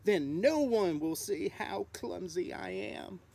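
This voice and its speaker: A woman voicing a small, innocent, earnest young female baby bat with a squeaky voice in a children's storybook. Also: animated, slow, creaky, dark tone, heavily acted. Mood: determined.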